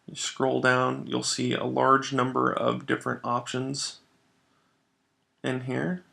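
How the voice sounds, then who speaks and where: Man with very creaky voice, walking through steps. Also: medium pitch, monotone, takes it slowly, sharp tone. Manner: explanatory, step-by-step, informal. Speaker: software trainer. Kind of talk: screen-share tutorial narration, instructional.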